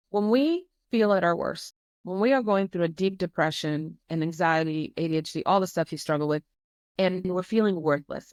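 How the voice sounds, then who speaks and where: An earnest woman in her forties from Croatia speaks as a podcast guest in a fairly low voice. She tells a hard personal story with emphasis and repetition. The recording is dry and close, with no room around it. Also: no echo.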